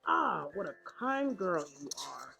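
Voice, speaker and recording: A woman's voice: big pitch swings, takes it slowly, creaky, exaggerated voice. A woman voicing a sly, hungry male storybook wolf with a growly voice and sneaky false friendliness. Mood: sly, coaxing. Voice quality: deep, growly. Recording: slightly dull audio, music behind.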